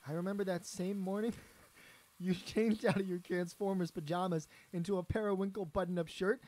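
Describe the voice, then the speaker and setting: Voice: mid-range voice, fairly even intonation, moderate pace, a little mellow, lightly acted. An actor playing an earnest, hesitant astronaut trying to win back an old friend in an audio drama. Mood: friendly, nostalgic.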